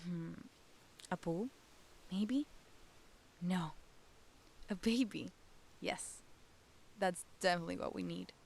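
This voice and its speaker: A woman's voice: lowish voice, slow, vocal fry, long pauses, a little mellow, husky, exaggerated voice. An actress playing a young wife in an audio drama, tender and hesitant in her delivery. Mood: musing.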